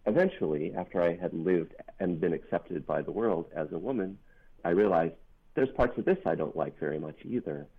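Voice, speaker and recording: Deep, gravelly bass voice over a phone line. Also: lively intonation, normal speed. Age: middle-aged. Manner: thoughtful, earnest, with frequent ums and restarts. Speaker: author guest. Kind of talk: reflective interview talk. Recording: narrow phone-line sound.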